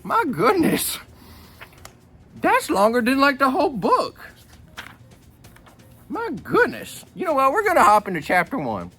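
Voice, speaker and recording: Man playing a rambling old grandpa, wildly animated. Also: extremely high pitch, a little slow, long pauses, husky, faint music behind, heavily acted. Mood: comic griping. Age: older. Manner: rambling, repeats himself, wisecracking. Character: folksy, joking old grandpa reading a memoir aloud. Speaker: book reader. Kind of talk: reading a book aloud with rambling comic asides.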